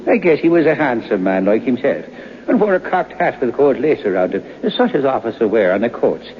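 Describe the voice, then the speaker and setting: A folksy storyteller played by a man spins an eerie tale in a mid-pitched voice. His telling is suspenseful, in old radio drama style. The sound is dull with faint noise. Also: big pitch swings, brisk, natural voice. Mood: hushed wonder.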